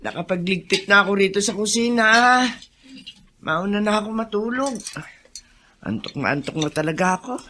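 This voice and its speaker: Older female housekeeper: kindly, folksy, animated melody, sharp bright tone, radio drama. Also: medium pitch, normal speed, pauses often. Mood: tired. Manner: folksy, motherly, hesitant interjections. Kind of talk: Filipino radio drama acting.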